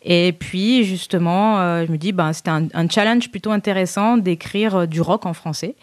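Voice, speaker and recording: Soft-spoken woman, singer guest. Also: on the low side, moderate pace, no room sound. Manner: soft-spoken, laughs easily. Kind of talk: casual interview answers.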